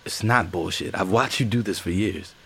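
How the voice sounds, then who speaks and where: A man's voice: sits low, moderate pace, gravelly, fairly bright tone, breathy edge, full character voice. An actor playing a mild, reassuring male partner with a slightly hesitant manner in a naturalistic audio drama. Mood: patient, concerned. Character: gentle, supportive male partner, bashful aspiring writer.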